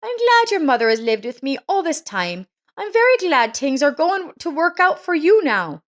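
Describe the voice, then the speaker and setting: A kind young neighbor woman played by a female narrator speaks in a high, raised voice. She is earnest and emotional, like a character in an audio life story. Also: very animated, normal speed. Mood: grateful, wistful. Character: kind, bitter young neighbor woman defending an old mother.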